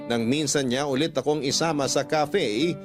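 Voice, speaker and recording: A man's voice: on the high side, normal speed. A man reading a first-person letter as a scripted radio-style story. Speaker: story narrator. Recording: guitar music bed behind the voice. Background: guitar music.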